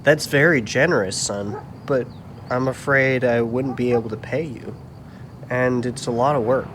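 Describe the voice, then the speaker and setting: A man's voice: mid-range voice, moderate pace, noisy background, natural voice. Man playing a kindly, weary old carpenter. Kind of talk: church puppet show dialogue.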